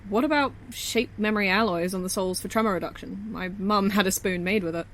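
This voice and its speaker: A woman's voice: mid-range voice, fairly quick, fairly bright tone, a little hiss or hum, natural voice. A woman playing a confident, observant security operative in an audio drama, with a blunt, dry way of talking. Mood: casual, teasing. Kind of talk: naturalistic audio drama acting.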